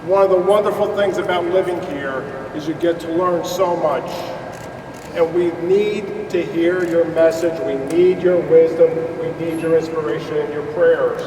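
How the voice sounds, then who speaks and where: Brief: man, high voice, booming heartfelt speech, echoey hall from a distance. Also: steady tone, moderate pace. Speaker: guest speaker. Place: large community hall. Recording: echoey hall from far off, noisy.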